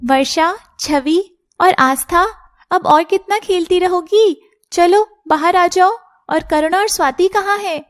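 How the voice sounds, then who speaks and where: A woman's voice: very high-pitched, takes it slowly, voice pushed up. A woman voicing a playful young serpent girl in a cartoon, chirpy and girlish. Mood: teasing, affectionate. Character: playful young female shape-shifting serpent girls.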